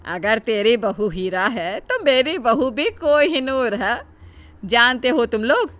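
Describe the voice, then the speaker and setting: A woman's voice: a little high, fairly bright tone. A woman voicing a boastful middle-aged mother-in-law in a story, gushing emphatically and covering up her embarrassment. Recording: music behind the voice.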